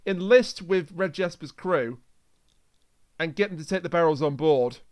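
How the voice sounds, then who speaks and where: A man's voice: fairly high pitch, lively intonation, moderate pace. A man hosting a podcast, casually recapping a story in a jokey way and acting out bits of dialogue. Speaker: podcast host.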